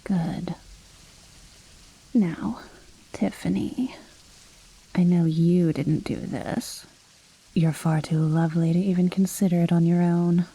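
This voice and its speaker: A woman playing an imperious, menacing vampire noblewoman in an ASMR roleplay, speaking in a soft, breathy half-whisper with cool, formal condescension. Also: fairly low pitch, fairly even intonation, exaggerated voice, poor audio. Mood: silky, controlled. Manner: slow, measured, coolly condescending, formal. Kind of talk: scripted ASMR roleplay monologue. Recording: rain and thunder ambience behind. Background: rainstorm with thunder.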